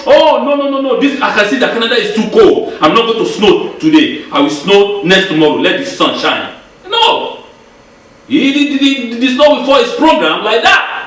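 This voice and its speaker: Guy preaching, high lively voice, echoey far-off mic in a hall. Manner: animated, repeats words, acts out little voices. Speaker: congregation teacher. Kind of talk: Torah portion sermon. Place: congregation hall.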